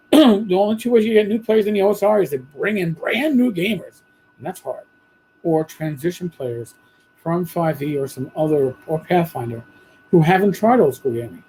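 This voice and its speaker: A man hosting a podcast, talking bluntly and casually with a hoarse voice after losing it. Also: high voice, expressive, normal speed, dark tone, a little hiss or hum, low-quality recording. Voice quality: husky. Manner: blunt, profane, rambling. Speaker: podcast host. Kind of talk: casual monologue.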